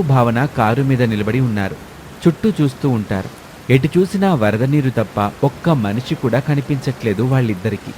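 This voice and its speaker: A man narrating a scripted moral story as the storyteller. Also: medium pitch. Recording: clear rain and engine noise behind.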